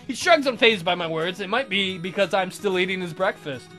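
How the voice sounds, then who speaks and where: A woman's voice: medium pitch, brisk, fairly bright tone, soft background music. Wry woman reading a visual novel. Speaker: let's play host.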